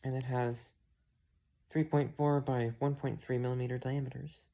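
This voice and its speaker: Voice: medium pitch, little pitch movement, moderate pace, gravelly, warm tone, a little hiss or hum. A hobbyist talking casually through a hands-on product demo, thinking aloud in a musing, matter-of-fact way. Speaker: amateur video maker, radio hobbyist.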